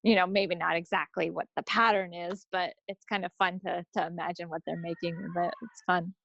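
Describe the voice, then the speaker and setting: A woman's voice: on the low side, level tone, fairly quick, gravelly, fairly bright tone, no room sound. An American woman co-hosting a podcast in casual chat, on a slightly dull recording. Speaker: podcast co-host.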